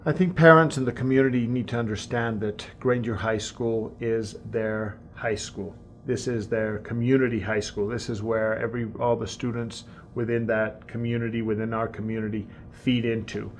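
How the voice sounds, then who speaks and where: Earnest man with halting restarts. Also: medium pitch, little pitch movement, slight background noise. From Tanzania and Mexico. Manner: earnest, repeats words when starting sentences. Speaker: incoming high school principal. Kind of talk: interview answers. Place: school office.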